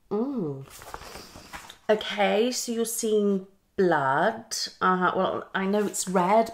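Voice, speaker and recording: A woman in her thirties with a British accent acts out a psychologist in an ASMR roleplay, asking questions theatrically with murmured acknowledgements. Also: mid-range voice. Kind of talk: ASMR roleplay, one-sided consultation. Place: small living room at home, indoors.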